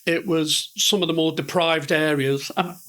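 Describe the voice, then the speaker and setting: A man's voice: fairly high pitch, fairly even intonation, moderate pace, a bit bright, poor audio. A middle-aged man with a northern English accent, telling rambling, self-deprecating stories as a podcast guest. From northern England. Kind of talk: conversational storytelling.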